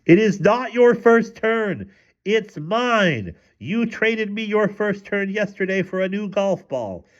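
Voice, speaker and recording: A man acts out a childish, quarrelsome mother from a children's story. The voice is shrill and squealing, pushed far up high and heavily exaggerated. The recording sounds slightly dull. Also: lively intonation, slow pace. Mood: indignant yelling. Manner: exaggerated wailing and shouting.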